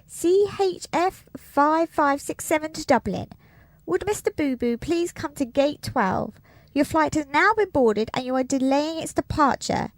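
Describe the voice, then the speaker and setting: High-pitched formal airport announcer woman, acted. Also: dry recording. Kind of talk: scripted public-address announcement, radio comedy.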